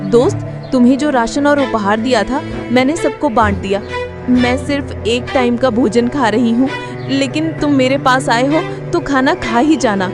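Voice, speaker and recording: Woman playing a high-pitched sly, selfish fox. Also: lightly acted. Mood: sly, grudging. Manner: sarcastic, complaining. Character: sly, selfish female fox, scheming and grudging. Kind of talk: Hindi cartoon fable dialogue.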